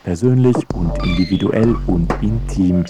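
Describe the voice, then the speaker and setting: A man reading scripted radio narration in an ironic, deliberate way. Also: sits low, over music.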